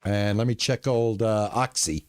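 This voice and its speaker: A man streaming a farming game live, chatting to his viewers in a relaxed, lulling way. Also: lowish voice, measured pace, no echo. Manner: relaxed, chatty, talks to chat. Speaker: gaming streamer. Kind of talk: live gameplay commentary.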